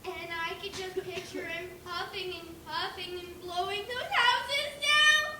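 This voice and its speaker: Girl playing a very high-pitched, excitable accusing pig. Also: unhurried, full character voice. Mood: dramatic. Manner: emphatic, theatrical storytelling. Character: excitable, accusing pig juror retelling a crime. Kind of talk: amateur school stage play. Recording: echoey room with clear noise.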